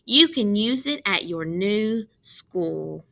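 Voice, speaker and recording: Woman reading as an earnest, bright-voiced young schoolgirl. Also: medium pitch, expressive, slow pace, gravelly, exaggerated voice. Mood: kind, earnest. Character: sincere young schoolgirl, thoughtful and generous. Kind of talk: storybook read-aloud.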